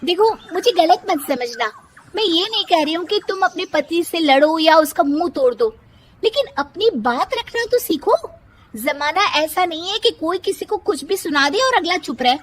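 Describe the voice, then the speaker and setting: Outspoken young cartoon friend played by a woman, piercingly high, animated, blunt. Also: moderate pace. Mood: urging. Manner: blunt, exclamatory.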